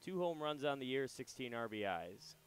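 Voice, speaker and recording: A young adult man doing casual radio baseball commentary and play-by-play from a ballpark booth. He talks in a relaxed, chatty way with loose fillers. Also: mid-range voice, normal speed, clean tone.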